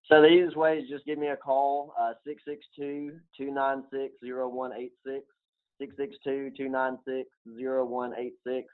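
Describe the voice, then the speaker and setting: A man's voice: mid-range voice, little pitch movement, moderate pace. A man giving conversational advice as a guest financial advisor. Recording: tinny phone-like narrow band sound.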